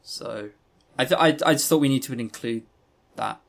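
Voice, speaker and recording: A man's voice: mid-range voice, normal speed, vocal fry, a bit bright. A man co-hosting a podcast, chatting casually and giving opinionated reviews with sarcastic, joking exasperation. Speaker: podcast co-host reviewing visual novels.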